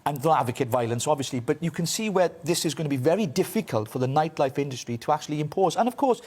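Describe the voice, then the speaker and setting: A man's voice: on the high side, animated, darkish tone, slightly rough. A Welsh man giving forthright, opinionated political commentary in a radio interview. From Wales. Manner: forthright, opinionated, quick delivery.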